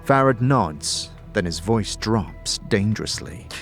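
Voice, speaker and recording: A man's voice: fairly low pitch, animated, slow, soft background music. A man narrating a fantasy audio drama in a dramatic, scripted style.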